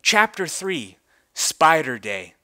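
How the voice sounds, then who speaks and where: A man's voice: fairly high pitch, big pitch swings, slow, pushed and bright. A man narrating a story as a dramatic reading, in a grandiose, theatrical manner.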